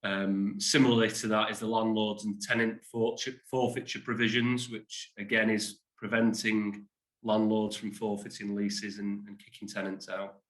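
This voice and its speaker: Man, business webinar presenter, low flat voice, hesitant, echoey room. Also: moderate pace. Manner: self-deprecating, hesitant with fillers. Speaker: insolvency practitioner presenting a webinar.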